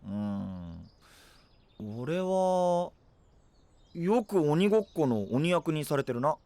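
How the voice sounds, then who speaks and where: A man's voice: fairly high pitch, sing-song, slow pace, mellow, dry recording, heavily acted. A man playing a frank, cheerful and honest young knight in an anime voice drama, with a casual, exuberant manner. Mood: wistful. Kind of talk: Japanese anime voice drama acting.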